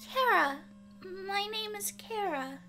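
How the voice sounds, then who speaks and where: A woman voicing a small, fragile, injured human girl with a halting, plaintive manner in an audio drama. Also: very high voice, expressive, pushed and bright, pitched above the performer's own voice, full character voice. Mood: shy.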